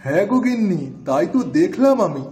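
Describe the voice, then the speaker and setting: A man's voice: high-pitched, sing-song, fairly quick, a little mellow. A man voicing a nosy village neighbour and compliant husband, folksy and eager to please, in a fairy tale cartoon. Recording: echoey, faint instrumental music behind.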